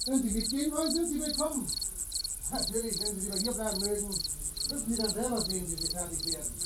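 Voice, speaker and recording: An actor playing a sardonic, fatalistic village muleteer in a radio play, speaking in a wry, cryptic way. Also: male voice, high voice, very noisy. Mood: offhand. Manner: wry, cryptic, drawn-out interjections. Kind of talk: German radio play acting.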